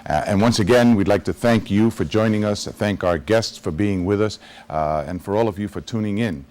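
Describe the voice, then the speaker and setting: Deep-ish guy hosting local tv, lots of uhs, friendly studio interview. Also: moderate pace, a little mellow. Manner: friendly, many fillers and restarts. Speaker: TV talk show host. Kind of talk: live studio interview and sign-off.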